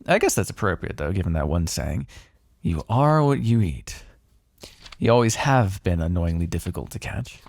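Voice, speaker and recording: Male vampire, played by a man for ASMR roleplay: sardonic, secretly fond, very animated pitch, creaky low edge. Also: normal speed, no echo, clean studio sound, full character voice. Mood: smug, amused. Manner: sardonic, conversational, teasing, with sighs and swearing. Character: smug, teasing male vampire, wry and grudgingly fond. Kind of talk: intimate ASMR roleplay monologue.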